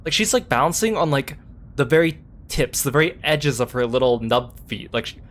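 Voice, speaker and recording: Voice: mid-range voice, lively intonation, moderate pace. A streamer talks casually over a video game. The delivery is playful and irreverent, in an offhand joking let's play style.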